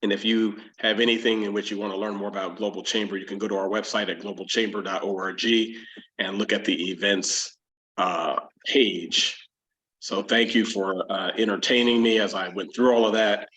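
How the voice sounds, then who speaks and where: A man with a low, flat voice hosts a live stream, reading out announcements and a welcome. He stops often on small fillers as he talks. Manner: halting, frequent "uh" fillers. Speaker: host, chamber board member.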